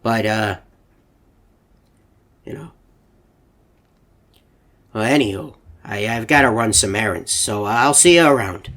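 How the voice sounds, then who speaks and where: A man's voice: lowish voice, animated, slow, pauses often, a bit bright. A man voicing an anxious, mild-mannered animatronic robot in a video game, speaking politely with hesitant stammers. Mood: worried, hesitant. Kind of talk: game character monologue.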